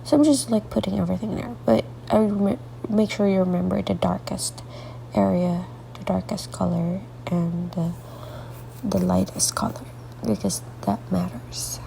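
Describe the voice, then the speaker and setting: A woman artist talking through a coloring tutorial with a congested, stuffy voice, rambling softly and often dropping into half-whispered asides, sleepy late at night. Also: medium pitch, fairly even intonation, moderate pace, vocal fry, a little hiss or hum, low-quality recording. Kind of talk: casual coloring tutorial narration.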